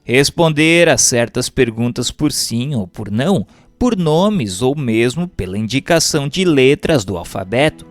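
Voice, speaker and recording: Slow, expressive Brazilian male narrator. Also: mid-range voice. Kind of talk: scripted narration, reading a book aloud. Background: music.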